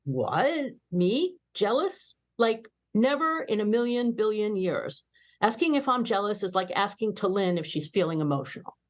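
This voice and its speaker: Woman as young female space officer, mid-pitched, sarcastic, blunt, exclamatory, teasing, comedy table read. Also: animated, a little slow, natural voice. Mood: indignant.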